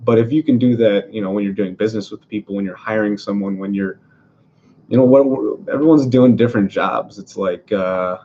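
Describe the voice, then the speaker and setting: A man's voice: lowish voice, brisk, dark tone, dull audio, slight background noise. A man from Venezuela talking casually about politics as a podcast host, rambling through many fillers and restarts in his apartment.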